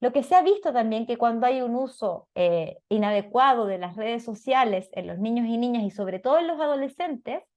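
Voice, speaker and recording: Woman, Chilean Spanish accent, slightly high pitch, didactic lecture delivery, frequent check-in questions. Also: animated, moderate pace, hardly any pauses, darkish tone. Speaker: lecturer on parenting and psychology. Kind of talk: explanatory talk to an audience.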